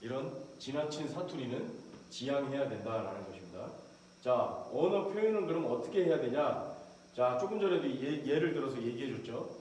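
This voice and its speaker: A middle-aged male professor gives a lecture in a steady, explanatory way and raises his voice for quick quoted orders. His voice rings around a very echoey room. A faint hiss runs under it. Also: medium pitch. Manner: explanatory, acts out short soldier quotes with a raised voice. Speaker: professor lecturing on military leadership. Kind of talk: recorded online lecture.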